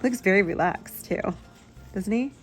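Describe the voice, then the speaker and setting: Female storyteller for young kids, medium pitch, expressive picture-book delivery, light music underneath. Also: moderate pace. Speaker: children's book reader, channel host. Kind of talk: picture-book read-aloud with asides to a child.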